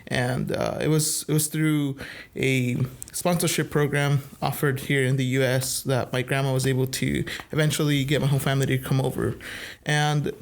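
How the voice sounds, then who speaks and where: A man's voice: mid-range voice, little pitch movement, normal speed. A man from El Salvador who grew up partly in the US, speaking as a guest in a casual podcast conversation. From El Salvador, moved to the US at age eight. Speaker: podcast guest, youth minister.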